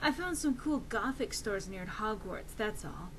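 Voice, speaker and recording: A woman's voice: medium pitch, expressive, measured pace, lightly acted. A woman voicing a cheerful, nonchalant teenage goth girl best friend in a story reading, perky, breezy and slangy. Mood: excited. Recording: noisy amateur home recording.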